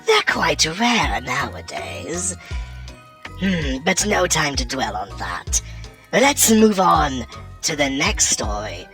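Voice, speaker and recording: A sinister, gleeful hostess of Halloween horror stories, low and theatrical. The voice is gravelly and swings wildly in pitch as each phrase is drawn out with relish, over a bed of music. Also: female voice, huge pitch swings, full character voice. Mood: delighted, menacing. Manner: drawn-out, gleefully ominous, cackling. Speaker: host. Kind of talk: theatrical horror anthology narration.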